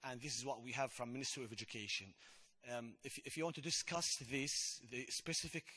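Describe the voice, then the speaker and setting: A man's voice: mid-range voice, brisk, bright, forceful tone, husky. A man presenting school language results to parents, hesitant and often repeating his words. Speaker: Arabic department presenter.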